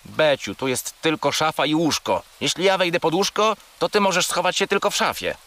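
Bright, whiny furry toy creature. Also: male voice, a little high, very animated, a little fast, heavily acted. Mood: matter-of-fact. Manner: plaintive, melodramatic. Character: small furry toy companion, whiny, greedy, dramatic, childlike. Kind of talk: children's audio drama acting.

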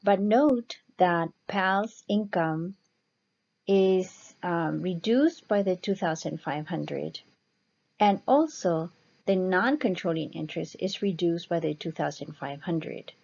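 A woman instructor explaining slides step by step in a measured lecture style. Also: on the low side, creaky, darkish tone. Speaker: accounting instructor. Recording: dull, muffled lo-fi voice-over.

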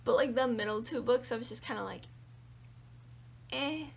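A young woman book vlogger chatting casually and animatedly about her reading, her voice a little raspy from singing. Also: fairly high pitch, moderate pace. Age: young adult. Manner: animated, chatty, lots of fillers and hand gestures. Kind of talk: casual monthly reading wrap-up. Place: small room with bookshelves indoors.

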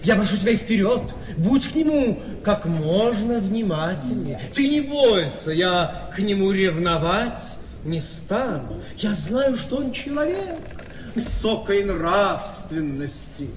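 Smug suave husband, high voice, mock-earnest flattery, radio play, distant in echoing hall. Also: male voice, takes it slowly, slight background noise, heavily acted. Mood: slyly mocking. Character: suave, self-satisfied handsome husband, slyly flattering. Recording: distant in reverberant hall, crowd sound.

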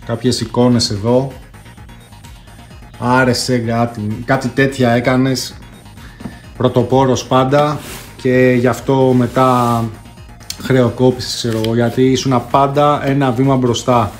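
A man's voice: mid-range voice, flat delivery, pauses often. A man, presenting casually as a video host, chatty and slangy with bits of English collector jargon. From Greece. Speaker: host of a retro console collecting channel. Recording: echoey room, distant mic, music behind.

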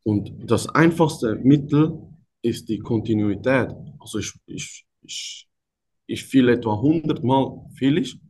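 A man's voice: mid-range voice, takes it slowly, husky. A man in his twenties from Thailand, a guest on a video-call interview, talking hesitantly with fillers. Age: 20s. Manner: hesitant, many fillers, soft laughs. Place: indoor room. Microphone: laptop camera, video call.

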